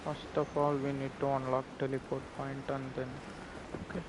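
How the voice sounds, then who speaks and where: A man's voice: mid-range voice, completely flat delivery, normal speed. A man doing casual live gameplay commentary as a streamer, recorded at a distance under background noise.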